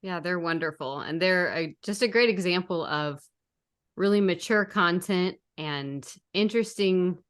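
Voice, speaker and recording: A woman with a slightly low voice co-hosts a podcast interview. She talks conversationally, as someone putting questions and remarks to a guest. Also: a little slow. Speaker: podcast co-host.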